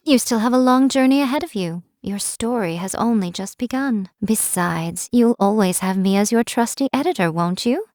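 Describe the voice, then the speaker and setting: A woman's voice: mid-range voice, darkish tone, no echo, clean studio sound, lightly acted. A woman voicing a playful, teasing fox spirit in a game, coy and amused as she talks. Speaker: game character. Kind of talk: game voice lines. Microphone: studio mic, dry.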